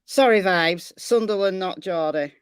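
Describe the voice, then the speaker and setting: A woman's voice: on the low side, unhurried, smooth, no room sound, studio quality. A woman with a Lancashire accent, hosting a true crime livestream in a casual, chatty way and thanking viewers for donations. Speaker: true crime channel host.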